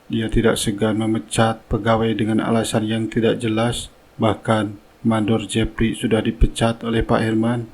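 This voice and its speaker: A man playing a loyal, deferential driver in a cartoon melodrama, speaking formally in a husky voice. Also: fairly low pitch, completely flat delivery, normal speed, darkish tone, low-quality recording. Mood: earnest. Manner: formal, respectful. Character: loyal, deferential driver reporting to his boss.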